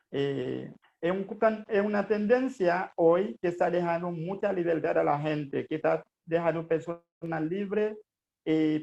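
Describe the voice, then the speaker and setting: Haitian man, gravelly voice, pitched high. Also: darkish tone, lo-fi sound. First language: Haitian Creole. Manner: earnest, hesitant with frequent fillers. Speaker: network marketing presenter. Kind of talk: motivational business presentation.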